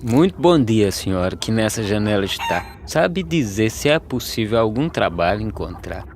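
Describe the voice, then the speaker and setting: An actor with a Brazilian accent playing a weary, humble, earnest migrant farm labourer in an animated verse drama. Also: male voice, lowish voice, normal speed, background noise. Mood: earnest, hopeful. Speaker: narrator and lead character of animated verse drama. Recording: music under the voice.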